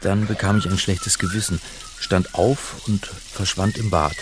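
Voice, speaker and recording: An actor playing a harried, dry scholar in a radio drama, speaking in dialogue and first-person narration. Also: male voice, low voice, fairly even intonation, measured pace, heavy background noise. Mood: wry narration. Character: harried, dull scholar son on the run.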